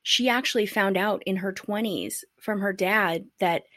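American lady host, mid pitch, talks loose and restarts a lot, dry room. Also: a little slow, a bit bright, dry recording. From United States. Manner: chatty, searching for words, self-correcting. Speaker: podcast host. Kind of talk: conversational interview.

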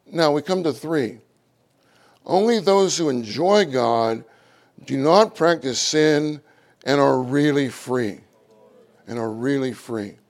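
A middle-aged man with a loud voice, preaching a sermon with animated, acted-out quotes. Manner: animated, acts out quotes with rising pitch.

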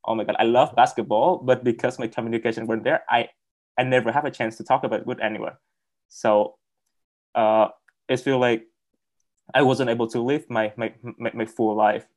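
A young man who speaks English as a second language, telling his story as a guest on a video call, halting and repeating words with many fillers. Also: mid-range voice, fairly even intonation. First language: not English. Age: young adult. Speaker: interview guest. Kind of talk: casual interview on a video call.

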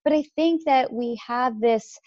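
A woman as a podcast guest, talking about her faith in a lively way and jumping up in pitch when she quotes others. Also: a little slow, no room sound, muffled recording. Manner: animated, jumps pitch when quoting others. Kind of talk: faith-based podcast conversation.

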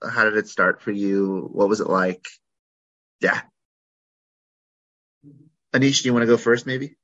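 A man hosting a podcast interview in a conference room, chatty with many ums and pauses. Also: fairly low pitch, pushed and bright. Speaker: podcast host. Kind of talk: casual interview. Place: conference room with poor acoustics. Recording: lo-fi, dull sound.

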